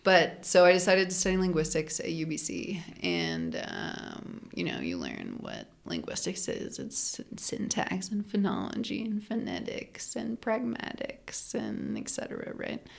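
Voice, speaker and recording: A young woman chatting as a podcast guest, her voice sitting a little low with a gravelly, fried edge. She explains things loosely, stringing thoughts together with plenty of "like" and "um." It sounds like relaxed conversation, not a lecture. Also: measured pace, few pauses. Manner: chatty, frequent "like" fillers, laughs while explaining. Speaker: linguist and anthropologist guest. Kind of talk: casual podcast interview.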